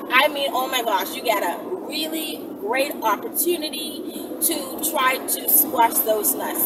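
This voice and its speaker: A young adult woman giving casual, emphatic instructional chat to camera from inside a moving car, repeating phrases and checking in with the listener. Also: high voice. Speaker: vlog host answering viewer questions. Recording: distant mic, loud car road noise.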